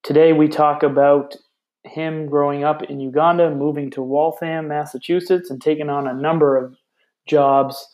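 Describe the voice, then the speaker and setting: A man speaks as a podcast host. His voice sits a little high for a man and stays fairly flat in pitch as he leads the interview. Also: normal speed, rough recording. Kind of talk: interview with scripted introduction.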